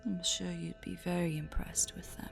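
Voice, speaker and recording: A woman voicing a possessive, sadistic spider-woman in a roleplay audio drama, smugly playful, with breathy, teasing, condescending coos over music. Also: fairly low pitch, little pitch movement, normal speed. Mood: smug, amused.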